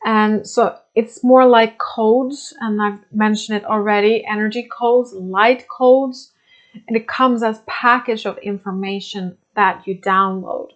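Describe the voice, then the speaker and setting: A woman whose first language is Swedish, explaining spiritual ideas in English with many pauses, fillers and restarts. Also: medium pitch. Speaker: spiritual teacher. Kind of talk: unscripted explanatory talk.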